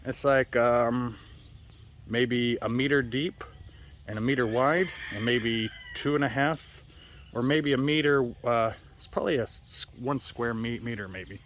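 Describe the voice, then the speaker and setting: A man's voice: medium pitch, unhurried, muffled recording. An American man rambling casually for a vlog, offhand and freely swearing, recorded outdoors in a yard. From United States. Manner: profane, offhand. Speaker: vlogger. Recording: noisy outdoor recording with birds and roosters. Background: birds, roosters and outdoor ambience.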